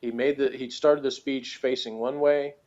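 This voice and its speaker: A man's voice: mid-range voice, flat delivery, moderate pace, darkish tone, highs cut off. A man in a casual unscripted discussion as a podcast co-host, hesitant and rambling, often circling back over his phrases. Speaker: podcast co-host reviewing a TV show.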